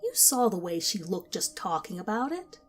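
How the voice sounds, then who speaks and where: A woman's voice: mid-range voice, sing-song, normal speed, slightly rough, faint music behind. A woman voicing a firm, blunt young woman who is loyal to her mother.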